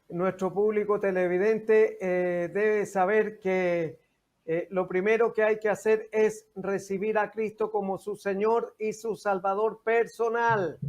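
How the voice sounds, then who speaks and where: An older man with a Chilean accent hosting a religious interview as a pastor, speaking in a deliberate, halting, preacherly way on a dull recording. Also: sits high. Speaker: TV host and pastor. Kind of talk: religious interview, devotional talk.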